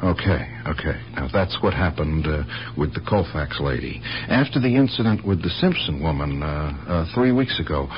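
A methodical, insistent detective in a radio drama. His low voice moves widely in pitch as he questions and reasons things out deliberately. It comes from a muffled old recording with faint noise. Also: sing-song. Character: probing, methodical male police detective, patient but insistent. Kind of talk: 1970s radio drama acting.

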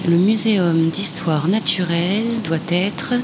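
A woman's voice: lowish voice, measured pace, very noisy, natural voice. A woman playing a cheerful young female ethnologist on an adventure, in a radio drama. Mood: lively. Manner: lively, bright, impatient. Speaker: heroine. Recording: loud music under the voice.

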